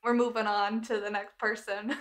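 A woman's voice: medium pitch, flat delivery, moderate pace, a crowd in the background. A woman bantering casually as a player on a tabletop game podcast, playful and giggly.

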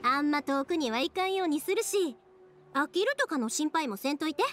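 An actress playing a lively, friendly young woman with a Kansai accent in an anime game, chatty and considerate. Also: high-pitched, brisk, faint music behind. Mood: easygoing, cheerful.

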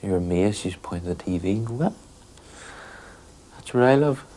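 Deep-voiced man, thoughtful. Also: lively intonation, normal speed, dark tone, a little hiss or hum. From Northern Ireland. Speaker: interviewee. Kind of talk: documentary interview. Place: small bedroom.

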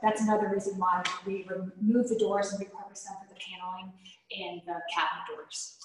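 A woman's voice: mid-range voice, level tone, brisk, background noise. A woman, a homeowner, chatting casually on a house tour and often restarting her sentences. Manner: chatty, repeats words as she starts sentences. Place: large bare room, indoors. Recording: very echoey room with long reverb.

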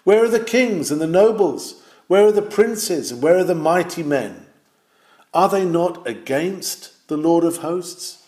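A man's voice: on the high side, expressive, measured pace, a little mellow, roomy sound. A man reading an old sermon aloud in a solemn, exhorting manner, with rising and falling emphasis. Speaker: reader of a historical sermon.

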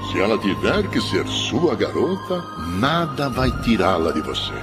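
A man voicing a gentle, thoughtful, fatherly friend in a cartoon dub, with a Brazilian accent. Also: on the low side, sing-song, unhurried, loud music behind the voice. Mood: tender, advising. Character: gentle, thoughtful male friend, slow to answer, fatherly advisor. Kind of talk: animated cartoon dub acting.

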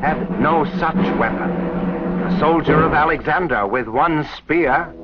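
A man's voice: on the high side, unhurried. A man narrating a scripted 1940s educational film in a solemn, declamatory way. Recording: dull, band-limited 1940s film soundtrack. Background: orchestral film score music.

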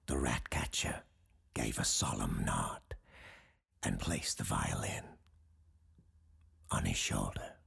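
An actor playing a grave, scholarly doctor who recounts dark legends in a horror audio drama, husky and ominous, with deliberate dramatic pauses. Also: male voice, extremely low pitch, animated, heavily acted. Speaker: character host telling a tale.

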